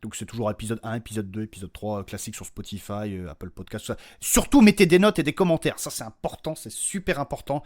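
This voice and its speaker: A man's voice: on the low side, fast talker, few pauses. A man hosting his own podcast, giving an unscripted, informal announcement in a rambling, slangy, self-deprecating way. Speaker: podcast creator and host.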